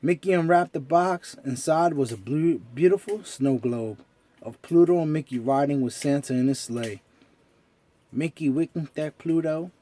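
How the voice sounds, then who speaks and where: A man narrating a children's story as an expressive storyteller. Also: on the high side, a little slow.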